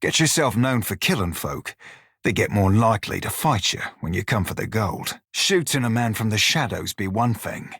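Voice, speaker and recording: Gruff raspy for a video game, twang, kinda low, sly and cocky, super dry sound. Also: male voice, lively intonation, moderate pace, a bit bright, dry recording, heavily acted. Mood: grim, frank. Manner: salty, drawling pirate dialect, sly and wry. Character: bawdy, roguish furry orlan pirate shiphunter, loyal and cocky. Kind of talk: video game character dialogue.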